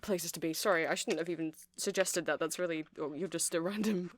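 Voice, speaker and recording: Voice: mid-range voice, fairly even intonation, fairly quick, no echo, lightly acted. A performer in an ASMR roleplay playing a nerdy, awkward, friendly male vampire music-shop seller who rambles hesitantly and apologetically to the listener. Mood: flustered, apologetic.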